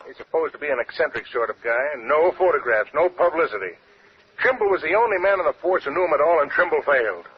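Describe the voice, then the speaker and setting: An actor playing a worried, blunt police inspector with an American accent, in urgent 1940s radio style. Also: male voice, a little high, animated, quick pace, close to an everyday voice. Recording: muffled, noisy old-time radio recording.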